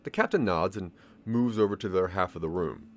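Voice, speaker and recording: A man's voice: low-pitched, brisk, slight background noise. A man narrating a scripted audio drama story, addressing the listener as its main character. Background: music.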